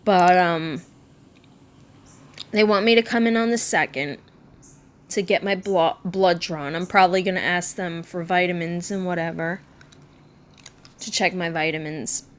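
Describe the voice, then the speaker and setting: Slow, halting woman in her thirties. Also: fairly low pitch, steady tone. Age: 30s. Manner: slow, halting, subdued and candid, many ums and restarts. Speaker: vlogger. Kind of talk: personal vlog talking to camera.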